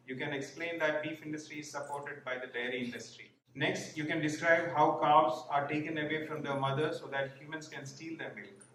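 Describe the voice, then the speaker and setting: A man giving a prepared conference speech, laying out his points one by one in a measured way. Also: fairly high pitch, fairly bright tone. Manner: measured, orderly listing of points. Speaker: conference speaker. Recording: echoey hall, distant mic, faint noise.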